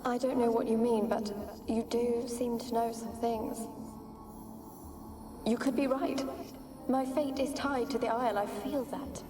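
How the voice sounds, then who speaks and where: A woman's voice: a little high, steady tone, a little slow, long pauses, lightly acted. An actress playing the ghost of a sorrowful young noblewoman in a video game, pleading and evasive, with archaic, plaintive phrasing. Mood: solemn, pleading. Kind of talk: dramatic video game acting. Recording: echoing, distant ghostly voice over music.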